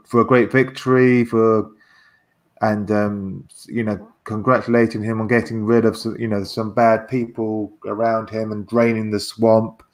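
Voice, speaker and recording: A man casually discussing politics in a halting way, repeating words as he searches for phrasing. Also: fairly low pitch. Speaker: guest discussing a political transcript.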